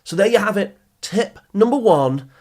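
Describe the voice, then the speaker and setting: Husky British man giving emphatic advice. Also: high voice, lively intonation, normal speed, darkish tone. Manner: emphatic, explanatory, with rhetorical questions and repetition. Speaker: podcast host and study skills coach. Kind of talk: motivational advice podcast, addressing listeners directly.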